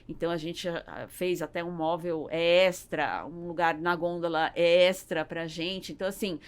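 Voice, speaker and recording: A woman's voice: lowish voice, unhurried, clean tone. A Brazilian woman speaking as a business owner in a conversational interview, searching for words and often adding tag questions. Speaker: podcast guest, business owner.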